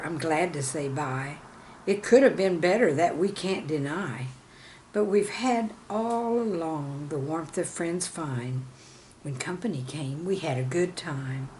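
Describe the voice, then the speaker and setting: A woman reading scripted stories and verse as a channel host, with a measured, deliberate delivery. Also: fairly low pitch, darkish tone, a little hiss or hum. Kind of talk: scripted storytelling and poem reading.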